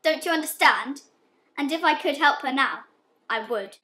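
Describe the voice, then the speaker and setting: High-pitched earnest young daughter, acted by a woman. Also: animated, moderate pace, sharp tone. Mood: remorseful confession. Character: young well-off daughter, earnest, guilt-stricken, impulsive. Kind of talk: amateur radio play acting. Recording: echoey room, amateur recording.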